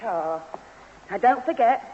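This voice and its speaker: A woman's voice: on the high side, expressive, takes it slowly. A streetwise London good-time girl, cheeky and hard-nosed. Her delivery swings up and down in an old radio sitcom. The sound is dull and echoing, with faint noise. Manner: cheeky, blunt. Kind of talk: British radio sitcom acting.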